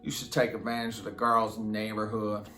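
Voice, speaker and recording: An actor playing a simple, slow, matter-of-fact rural Southern man who confesses in a halting, plain-spoken, repetitive drawl, as a dramatic film monologue. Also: fairly low pitch, completely flat delivery, sharp tone. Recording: roomy echo, music underneath.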